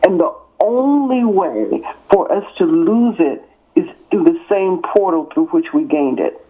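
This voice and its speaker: A woman's voice: fairly low pitch, animated, measured pace, slightly rough. An author in her sixties, raised in East Texas, answers interview questions over the phone. She talks emphatically and stacks her points in repeated, parallel phrases. The line sounds thin and phone-like. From born in California, raised in East Texas. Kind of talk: interview on a call.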